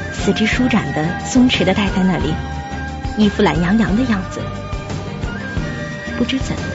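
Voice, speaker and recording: Radio drama lead: reflective young web editor narrating uneasily actress, very varied melody, slightly dull recording. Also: female voice, fairly high pitch. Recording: dull lo-fi audio. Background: music.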